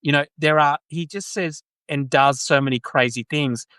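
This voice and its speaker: A man's voice: fairly high pitch, normal speed, a bit bright, smooth, no echo, studio quality. A man with an Australian accent hosting a podcast, talking casually about politics with frequent restarts. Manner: conversational, frequent restarts and agreeing. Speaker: podcast host.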